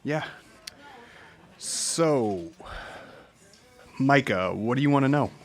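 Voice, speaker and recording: A man's voice: medium pitch, lively intonation, slow pace. An actor playing a guarded but polite American man in an audio drama, talking in a reserved, casual way. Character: guarded, polite working father meeting a podcaster. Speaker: interviewee character. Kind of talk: naturalistic audio drama dialogue.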